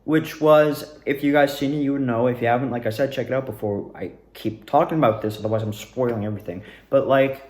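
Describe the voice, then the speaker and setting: A young man talking casually and loosely, recapping his experiences in an animated, rambling storytelling manner. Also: medium pitch, fairly quick, hardly any pauses. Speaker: amateur student actor reviewing own performances. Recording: roomy, echoey indoor sound.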